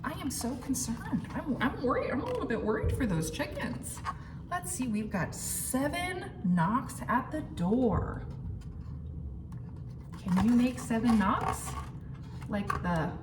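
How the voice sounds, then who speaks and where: A high-voiced woman leads an interactive storytime for young children. She speaks slowly and simply, stretching out the suspense and putting questions to her listeners. The sound is distant and echoey, with clear background noise. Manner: animated, exaggerated suspense with audible gasps and questions to listeners; simple child-directed phrasing, counting aloud slowly. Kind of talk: interactive picture-book read-aloud for young children. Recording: roomy, distant mic, clearly noisy background.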